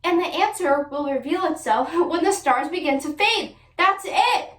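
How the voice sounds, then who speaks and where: Excitable young female sleuth, high-pitched, picked up from afar in an echoey room played by a woman. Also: normal speed. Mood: excited. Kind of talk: staged radio play dialogue. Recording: echoey, distant room pickup.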